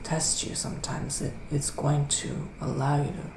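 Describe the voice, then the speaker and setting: A man playing a gentle psychic witch in a soft ASMR roleplay, partly whispering, with hesitant, drawn-out phrasing. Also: a little high, flat delivery. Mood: soothing, pensive. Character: gentle male witch giving psychic tarot readings. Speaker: ASMR performer. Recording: roomy echo, clear background noise.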